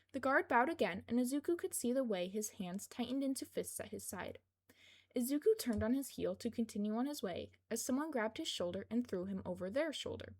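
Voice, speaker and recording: Woman reading a fanfic audiobook, amateur narrator, voice on the higher side. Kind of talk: scripted fiction narration.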